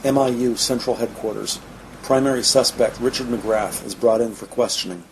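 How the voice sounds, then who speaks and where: A man's voice: mid-range voice, steady tone, normal speed, close to an everyday voice, rough recording. Man as formal police drama narrator over noise. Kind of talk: scripted audio drama narration.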